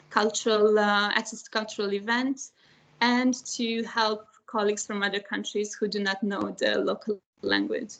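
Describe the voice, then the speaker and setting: A woman's voice: mid-range voice, creaky, fairly bright tone. A young woman from Romania, a panelist on a video call, talking hesitantly with many fillers about her traineeship. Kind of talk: online panel talk.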